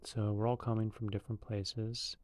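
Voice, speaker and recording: Calm, low-voiced male meditation guide. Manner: calm, unhurried, loose and conversational with fillers.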